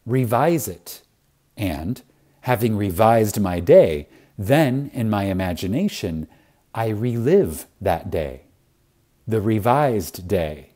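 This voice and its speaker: A man reading a spiritual lecture like a sermon, reverent and emphatic, with deliberate pauses for effect. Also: mid-range voice, dark tone.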